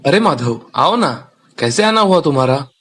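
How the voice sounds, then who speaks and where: A man's voice: on the high side, big pitch swings, moderate pace, fairly bright tone, slightly rough, full character voice. A man voicing a polite, earnest, hardworking young village farmer in a cartoon story. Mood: friendly. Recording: music under the voice.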